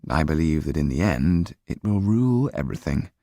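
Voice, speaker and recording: Male narrator playing thoughtful serious gentleman, deliberate phrasing, animated intonation, audiobook. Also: extremely low pitch, no room sound, studio quality. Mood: grave.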